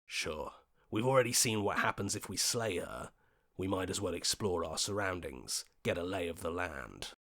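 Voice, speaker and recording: Low, gravelly, level-headed game hero. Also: male voice, expressive, normal speed, a bit bright. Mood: wary, reasoning. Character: level-headed, questioning male hero voice in the player's head. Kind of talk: video game voice acting.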